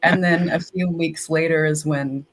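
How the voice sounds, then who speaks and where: A young woman from Texas chats as a podcast guest, telling stories casually and peppering them with "like". Her speech is relaxed and conversational. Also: low voice, measured pace, a little hiss or hum, rough recording. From Texas, United States. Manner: chatty, uses "like" often, storytelling. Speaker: guest, English language assistant. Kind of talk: casual interview.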